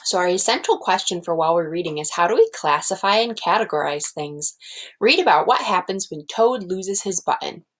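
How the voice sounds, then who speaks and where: A woman's voice: lowish voice, expressive, fairly quick. A woman teacher explaining things simply to young children and reading a storybook aloud.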